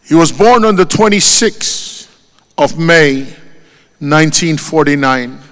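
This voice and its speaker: Tongan-accented male eulogist, solemn and deliberate, reverberant church hall. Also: on the high side, lively intonation, a bit bright. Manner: solemn and measured, lifting his voice on each name. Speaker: eulogist at a funeral. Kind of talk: formal funeral eulogy.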